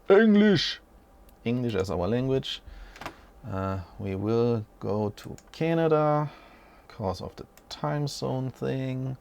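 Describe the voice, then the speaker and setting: A man in his forties talks through a tech setup at an unhurried pace, his voice gravelly with a touch of fry. He rambles in a chatty way and often stops to correct himself. He sounds like he is sitting at a desk in a small room at home, a short way from the mic. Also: medium pitch, a little mellow. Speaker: tech channel host. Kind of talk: unscripted casual tech walkthrough.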